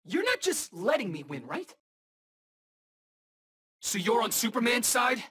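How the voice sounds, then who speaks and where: Cocky rookie superhero kid for a fighting game, quippy slangy banter, unhurried, pitch jumps all over. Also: male voice, wildly swooping pitch, bright, forceful tone, breathy edge, exaggerated voice. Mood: confident, taunting. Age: young adult. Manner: casual, wisecracking, boyish slang. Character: cocky, eager young male rookie superhero in alien armor. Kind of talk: video game battle banter.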